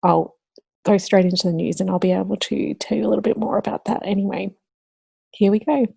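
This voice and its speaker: A woman from Australia hosting her budgeting channel, talking casually to camera with a slightly croaky voice. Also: medium pitch, fairly even intonation, a little fast, mellow, dry recording, studio quality.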